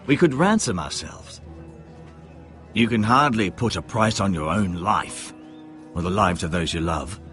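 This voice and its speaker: An actor playing a cautious, deferential maester who counsels a young lord in a dramatic fantasy video game. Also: male voice, sits low, animated, a little slow, pauses often, fairly bright tone, soft background music. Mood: persuasive.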